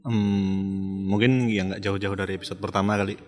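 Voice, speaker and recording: A man's voice: low voice, flat delivery, moderate pace, soft background music. A young man with a Jakarta Indonesian accent, co-hosting a podcast in casual chat and laughing often. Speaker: podcast co-host.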